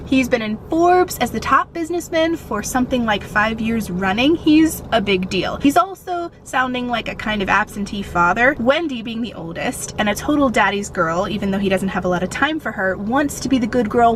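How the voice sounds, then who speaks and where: A young woman chatting casually as a book vlogger, expressive and a little self-deprecating. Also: on the high side, slight background noise. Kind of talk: casual vlog chat.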